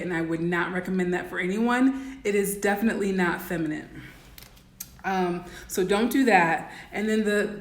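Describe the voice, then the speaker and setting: A woman with a raspy voice giving blunt, instructive coaching advice in a casual livestream talk, full of "um" and "like". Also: mid-range voice, roomy sound. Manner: blunt, instructive, many "um" and "like" fillers. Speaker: dating and life coach.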